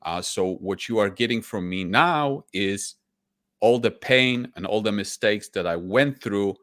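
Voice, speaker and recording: A man's voice: fairly low pitch, unhurried, a bit bright, dry recording, clean studio sound. Man. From Poland. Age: 40s. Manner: candid and reflective, with frequent restarts. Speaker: guest, stock investor. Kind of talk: casual interview storytelling.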